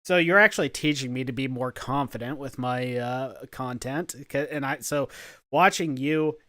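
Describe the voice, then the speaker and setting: A man hosting a podcast in a deadpan, halting way, stumbling over his words now and then. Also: mid-range voice, dry recording, clean studio sound. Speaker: podcast host. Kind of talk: casual podcast chat.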